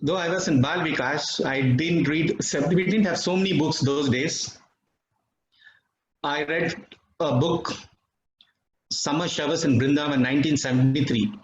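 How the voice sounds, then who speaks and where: A middle-aged man from Bangalore with an Indian English accent and Tamil as his mother tongue, giving a reflective, measured personal testimony with pauses. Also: a little high, crowd noise. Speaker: devotee sharing experiences. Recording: dull, slightly roomy recording with faint noise.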